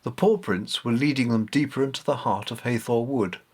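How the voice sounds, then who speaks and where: A man's voice: mid-range voice, moderate pace, slightly rough. A man reading his own story aloud as scripted narration. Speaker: author reading own novelette.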